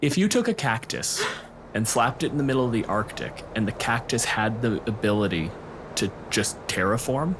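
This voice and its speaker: A man's voice: mid-range voice, lively intonation, normal speed, background noise. A man co-hosting a casual panel talk, explaining and arguing his points in a dry manner. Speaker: podcast co-host discussing tabletop game monsters.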